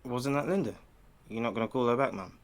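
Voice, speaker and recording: An actor playing a casual, caring English teenage son in a naturalistic audio drama. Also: male voice, medium pitch, fast, close to an everyday voice. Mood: probing, teasing. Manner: casual, teasing, animated.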